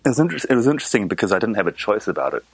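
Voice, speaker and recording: A man's voice: on the low side, very animated, very fast, crowd noise. A man from New Zealand, a guest musician, talking about his background in an easygoing way and laughing often. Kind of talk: casual interview.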